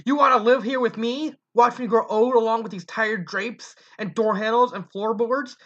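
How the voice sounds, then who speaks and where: A reader voicing a harsh, desperate mother pony who shouts and scolds her son, then apologizes. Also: medium pitch, normal speed, close to an everyday voice. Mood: shouting. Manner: loud, scolding, pleading. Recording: dull lo-fi recording.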